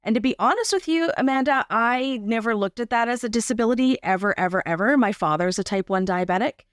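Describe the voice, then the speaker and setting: A woman's voice: fairly high pitch, animated, fast talker, no room sound. Female interview guest, candid and wry, conversational delivery, very dry studio-like sound. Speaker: podcast guest. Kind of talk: conversational personal account.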